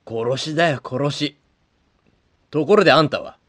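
A man playing a blunt, rough-spoken middle-aged adventurer in a comedic audio drama. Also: a little high, measured pace, a bit bright, no room sound. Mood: brusque.

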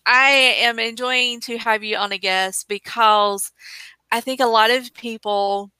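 A woman's voice: fairly high pitch, fairly even intonation, unhurried, bright, forceful tone, clear, resonant tone, no room sound, low-quality recording. A woman hosting a podcast interview over a remote call, enthusiastic and effusive in casual chat. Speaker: podcast host.

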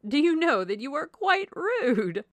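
A woman voicing a merry, silly young married gentlewoman in a classic novel, effusive and giggly. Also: high-pitched, sing-song, a little slow, no echo, pitched above the performer's own voice, heavily acted. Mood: teasing. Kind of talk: audiobook character voice, classic novel.

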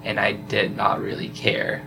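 A man's voice: on the low side, unhurried, creaky, music in the background, low-quality recording. A young man being interviewed about his study abroad semester, talking casually with many filler words. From South Korea. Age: young adult. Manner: relaxed, lots of "like" fillers. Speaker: study abroad student guest.

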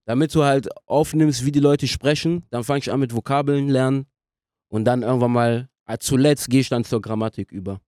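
A young man speaking casually as a podcast guest, in a halting way as he searches for words. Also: mid-range voice, steady tone, darkish tone, no room sound, clean studio sound. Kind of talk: casual interview conversation.

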